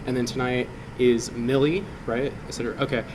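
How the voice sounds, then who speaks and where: A man's voice: medium pitch, moderate pace. A man speaking casually as the host of a meetup, introducing things informally. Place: indoor meeting room. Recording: noisy live room, steady hum behind.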